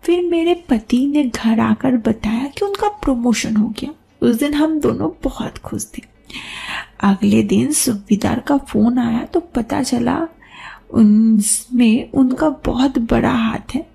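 A woman narrates a first-person story in a high voice. Her tone is soft-edged and mellow rather than bright, with a noticeable creak running through it. She reads as a storyteller, line by line. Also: takes it slowly.

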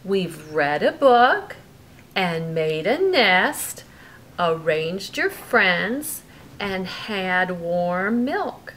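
A woman voicing a gentle, sleepy mother bear in a storybook, speaking in a soft, coaxing way. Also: fairly low pitch, big pitch swings, very slow, a little hiss or hum, full character voice.

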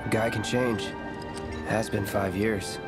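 An actor with an American accent playing an aloof young mercenary in a video game, terse, deadpan and detached. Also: male voice, on the low side, measured pace, over loud music. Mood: curt.

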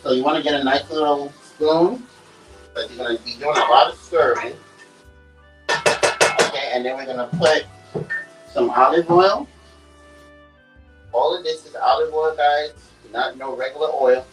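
A young man talks his viewers through a recipe at an unhurried pace, checking in with "okay" and "guys". His voice is mid-pitched and comes from a few feet off the camera. The small kitchen around him rings a little, with faint music and sizzling underneath. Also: a bit bright. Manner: chatty, keeps saying "okay" and "guys". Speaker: cooking vlogger. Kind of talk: casual cooking demonstration. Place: small home kitchen, indoors. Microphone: camera set on the counter, away from him. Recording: echoey kitchen, voice from a distance.

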